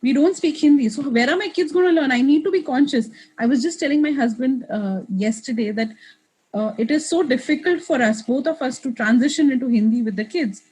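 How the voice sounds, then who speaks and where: A woman's voice: high voice, fairly quick, darkish tone, slightly rough, poor audio. A woman in her thirties, a guest in an interview, talking in a reflective way with many restarts and asides. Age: 30s. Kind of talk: casual interview conversation.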